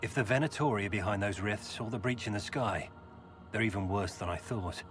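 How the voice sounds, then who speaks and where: An actor playing an earnest, worried young nobleman in a video game, speaking in a measured way. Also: lowish voice, over music, close to an everyday voice. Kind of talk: video game dialogue acting.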